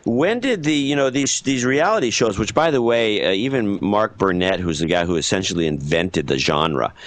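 A man co-hosting a podcast, talking in an opinionated, animated way in casual chat. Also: lowish voice, moderate pace. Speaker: podcast co-host.

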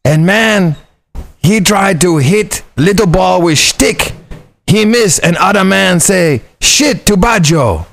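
A man's voice: high voice, expressive, vocal fry, fairly bright tone, voice pushed up, exaggerated voice. A man putting on a Chinese spy in broken English. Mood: mock earnest. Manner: halting broken English, singsong. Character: Chinese spy reporting to his leader. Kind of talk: joke telling.

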